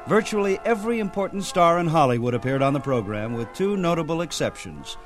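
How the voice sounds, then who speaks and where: A man's voice: fairly high pitch, very animated, brisk. Male radio host narrating over music. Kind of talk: scripted narration about old-time radio. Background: theme music.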